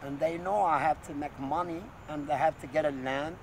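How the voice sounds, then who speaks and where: A man's voice: fairly high pitch, fairly even intonation, normal speed, creaky, poor audio. A middle-aged man from Morocco with a Moroccan accent, talking in an agitated, rambling, repetitive way to a street camera. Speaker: street interviewee. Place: city street outdoors. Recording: outdoor street with loud traffic noise.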